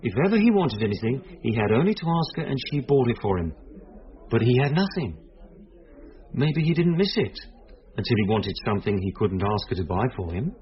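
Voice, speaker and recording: An actor playing a calm, reassuring police detective who explains a case in a measured way, in a radio drama. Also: male voice, mid-range voice, highs cut off, close to an everyday voice. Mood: explaining, serious. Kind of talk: naturalistic radio drama acting.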